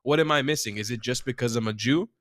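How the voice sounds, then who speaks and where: A man's voice: mid-range voice, fast talker, a bit bright, no room sound, studio quality. Passionate male journalist arguing his case. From Israel. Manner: passionate, argumentative, with rhetorical questions. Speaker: journalist guest. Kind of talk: casual interview chat.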